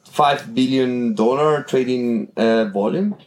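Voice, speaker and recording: A man's voice: mid-range voice, takes it slowly, poor audio. A man from Chile, a guest on a podcast, talking casually and often stopping to search for words.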